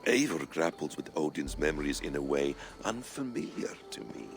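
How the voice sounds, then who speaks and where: A man playing a cerebral, curious, wryly detached observer in a video game, with a gravelly voice and musing, theatrical delivery. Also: very deep voice, lively intonation, moderate pace. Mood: intrigued, musing. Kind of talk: dramatic video game narration. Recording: music behind the voice.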